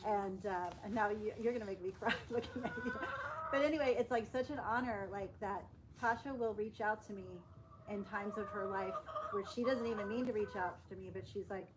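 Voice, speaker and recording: A woman sharing personal experiences casually, in an earnest, halting, emotional way, on a live stream. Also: mid-range voice. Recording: noisy live stream, clicks, roosters.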